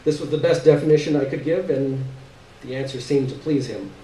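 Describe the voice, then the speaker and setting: A man's voice: mid-range voice, brisk, warm tone. A man giving a dramatic literary reading of a short story on stage. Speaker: story reader. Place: live stage reading in a room. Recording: echoey room, distant mic, faint noise.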